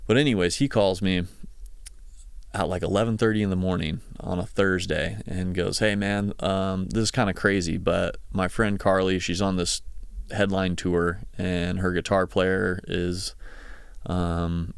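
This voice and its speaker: Low-voiced man in his thirties, slightly gravelly. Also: flat delivery, a little slow, no room sound, studio quality. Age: 30s. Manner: conversational, rambling, reflective. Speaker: podcast host, session guitarist. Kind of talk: casual solo podcast monologue.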